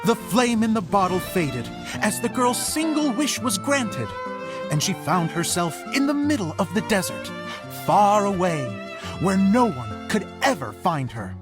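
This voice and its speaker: A woman voicing a theatrical storyteller and show director in a video game, narrating like a storybook in a measured, expressive way. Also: medium pitch, music in the background. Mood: storytelling.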